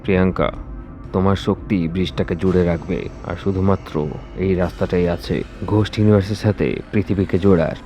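Cartoon ghost villain, played by a man: lowered voice, commanding and slow, plotting doom, music underneath. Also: level tone, natural voice. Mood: sinister, commanding. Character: menacing ghost villain plotting to destroy humankind. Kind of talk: cartoon horror story dialogue. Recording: music behind the voice.

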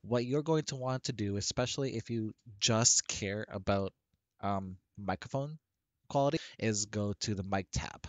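A man with a deep voice, talking through a casual software tutorial on a headset microphone and often restarting his sentences. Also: moderate pace, no room sound. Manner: rambling with frequent restarts and fillers. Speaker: tech tutorial creator.

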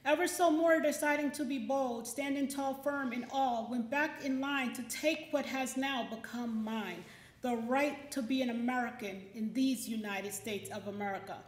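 A woman's voice: high-pitched, moderate pace. A middle-aged woman hosting and reading at a poetry event, speaking emphatically into a stand microphone indoors. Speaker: poetry event host and poet. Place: indoor library event room. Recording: roomy, echoey event space.

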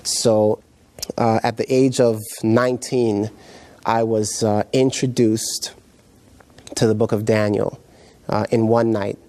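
A middle-aged man from Jamaica, raised in New York, giving his personal testimony as a guest pastor in a halting, reflective way. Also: on the low side, level tone, darkish tone. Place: indoor TV studio set, seated.

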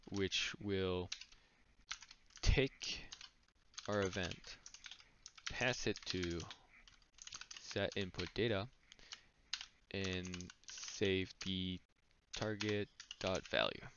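A man explaining code as he types, thinking aloud with small slips. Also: deep voice. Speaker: coding tutor. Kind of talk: screen-recorded coding walkthrough. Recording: dull lo-fi mic with noise. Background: keyboard typing and clicks.

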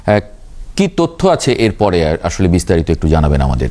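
A man's voice: sits low, wildly swooping pitch, moderate pace, a crowd in the background. A man with a Bangladeshi accent presenting the news as an anchor, moving between scripted narration and live handovers.

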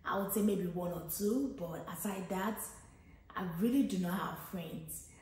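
A woman's voice: mid-range voice, unhurried, darkish tone. A woman in her thirties from Nigeria with a Nigerian accent, chatting casually as a vlogger and thinking aloud with frequent restarts. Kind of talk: casual get-to-know-me chat. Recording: very echoey room.

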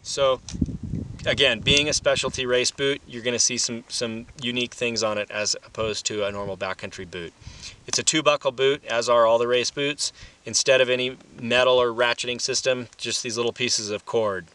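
A man's voice: mid-range voice, steady tone, normal speed, pushed and bright. A man gives an informal gear demonstration as an instructor, explaining things in a plain-spoken, matter-of-fact way. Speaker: instructor and presenter. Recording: distant mic, light background noise.

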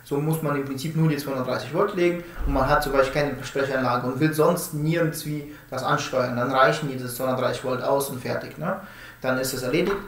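A man's voice: mid-range voice, a little fast, fairly bright tone, a little hiss or hum. A man in his thirties giving a casual technical explanation, often tacking questioning tags onto his statements. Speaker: presenter explaining smart-home door products. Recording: roomy indoor echo.

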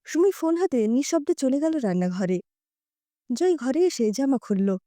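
High-voiced expressive woman storyteller. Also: moderate pace, no echo, studio quality. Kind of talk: expressive scripted audio story narration.

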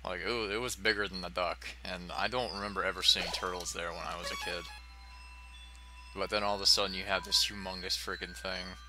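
American guy with a deep voice doing a monotone lets play, rambles and swears, game music playing behind him. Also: a little fast, rough recording. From United States, Ohio. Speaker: let's play host. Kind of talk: casual gameplay commentary. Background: video game music.

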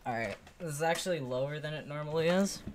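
A young man giving a casual, sweary live reaction as a game streamer, with an excitable, slangy manner. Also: fairly high pitch, fast, a little hiss or hum. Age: young adult. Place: indoors, small home room.

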